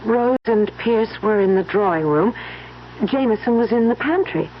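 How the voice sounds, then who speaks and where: Expressive young wife, old radio. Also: female voice, fairly high pitch, moderate pace. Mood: hesitant, sombre. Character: weary, trusting young wife, gentle and wistful. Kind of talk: old-time radio mystery acting. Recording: dull, noisy old radio recording.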